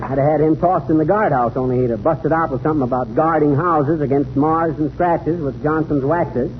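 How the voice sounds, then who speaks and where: An actor playing a folksy, cocky know-it-all with an American accent, slangy and brash, in 1930s radio comedy. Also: male voice, a little high, brisk, lightly acted. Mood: wry. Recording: tinny, noisy 1930s radio recording.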